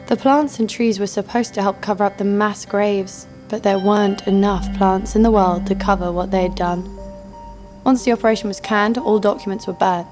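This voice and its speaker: A woman's voice: mid-range voice, fairly even intonation, moderate pace, darkish tone, soft background music, close to an everyday voice. A woman playing a sardonic, vengeful bounty hunter who narrates her own story in an audio drama. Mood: grim. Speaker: lead actor and narrator.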